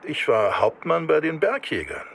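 Man acting a very animated, gravelly older storyteller. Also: a little high, moderate pace, full character voice. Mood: eager, confiding. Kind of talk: dramatised anecdote with acted dialogue.

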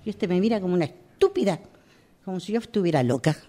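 An actress playing a frantic, impatient woman muttering irritably to herself in a radio theatre exercise, husky and sighing. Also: on the low side, animated, quick pace, mellow. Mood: exasperated. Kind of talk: improvised radio theatre monologue.

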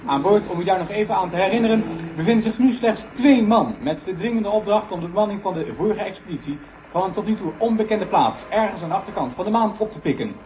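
High-pitched male launch commentator, noisy recording. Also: animated, fast talker. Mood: solemn excitement. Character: live broadcast commentator narrating a rocket launch. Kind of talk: 1970 radio drama news commentary. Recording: noisy, dull old radio recording.

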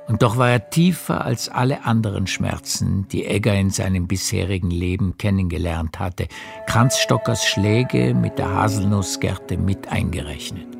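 A man narrating a literary radio drama with measured, deliberate phrasing. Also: low-pitched, vocal fry, music in the background.

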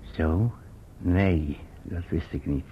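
A man's voice: sits low, drawn-out words, heavily acted. An actor playing a devoted older working man in a Dutch radio play, stammering and repeating his words. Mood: pensive. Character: Baas Peggotty, devoted older working man searching for lost Emily. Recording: muffled, husky old radio recording.

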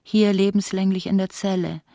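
A woman's voice: medium pitch, little pitch movement, normal speed, vocal fry, mellow, no echo, clean studio sound, close to an everyday voice. An actress playing a middle-aged woman prisoner in an intimate radio play, tender, theatrical and wryly self-mocking. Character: imprisoned ailing woman of forty-eight, tender, self-mocking, longing.

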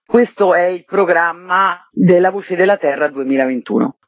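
A woman's voice: fairly low pitch, moderate pace, dry recording. Woman interviewee with dry, dull sound. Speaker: festival artistic director, interview guest. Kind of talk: informational radio interview. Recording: dull, narrow-band sound.

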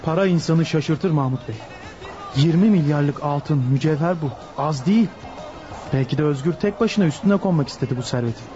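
A man's voice: fairly high pitch, fairly quick, close to an everyday voice. A man acting a shrewd, cynical lawyer in a radio drama, knowing and familiar in manner. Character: shrewd, cynical lawyer confiding in a crime boss. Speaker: voice actor. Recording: dull radio play with loud music.

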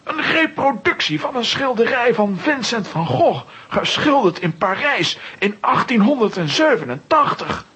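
An agitated professor for a children's audio play. His voice is high and very rough, swinging up and down as he fusses and exclaims. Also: big pitch swings, a little slow, hardly any pauses, pushed and bright, exaggerated voice. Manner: flustered, exclamatory. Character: fussy, agitated, nervous professor. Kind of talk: children's audio drama acting.

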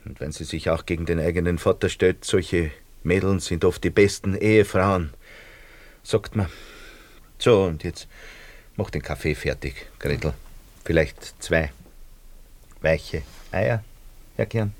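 A fawning, self-pitying Austrian shopkeeper and father in a radio drama. His voice sits fairly low in a dry studio sound, and his Austrian-accented talk rambles theatrically, always angling for advantage. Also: a little slow, pauses often, no room sound. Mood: fawning, needling. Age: middle-aged.

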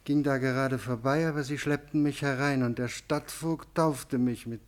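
A man's voice: medium pitch, steady tone, moderate pace, natural voice. An actor in a German radio drama playing a small, meek, put-upon man, hesitant and deferential. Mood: plaintive, meek.

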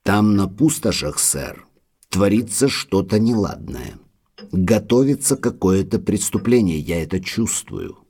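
An actor playing a deferential, uneasy manor butler in an audio drama, grave and full of foreboding. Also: male voice, fairly low pitch, expressive, a little slow, mellow, husky, exaggerated voice. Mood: anxious, foreboding.

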